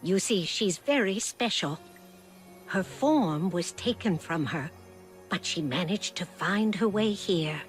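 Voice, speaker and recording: A gentle, mysterious older enchantress from a video game. She speaks slowly with sweeping, theatrical intonation, coaxing and knowing, over faint music. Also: fairly low pitch, expressive. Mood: gentle, assured. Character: kindly, mysterious older fairy-godmother-like enchantress. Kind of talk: scripted video game cutscene acting.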